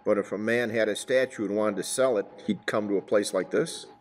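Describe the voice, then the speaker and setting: A man playing a calm police detective in an amateur radio drama, putting brief, plain questions. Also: fairly low pitch, brisk, a little hiss or hum, close to an everyday voice. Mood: calm, inquiring. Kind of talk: 1950s radio drama acting, amateur church production.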